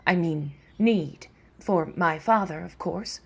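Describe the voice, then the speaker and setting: An actress playing a cool, biting femme fatale in a parody of a 1940s detective radio play, sultry and cutting. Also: female voice, on the low side, slow, creaky, exaggerated voice. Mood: cool, teasing.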